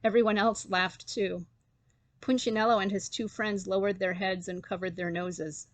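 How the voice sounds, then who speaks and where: A woman's voice: medium pitch, steady tone, normal speed, no room sound. Woman reading a children's story, dry sound.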